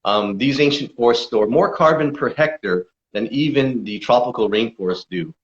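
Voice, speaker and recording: A man's voice: mid-range voice, normal speed. A man answering interview questions as a conservation campaigner, explaining steadily with frequent fillers. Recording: dull lo-fi sound.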